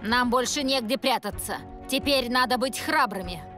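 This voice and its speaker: Brave cartoon space warrioress: earnest, dramatic, higher register over music. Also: female voice, moderate pace, bright, forceful tone. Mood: resolute. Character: serious, brave female alien warrior lady.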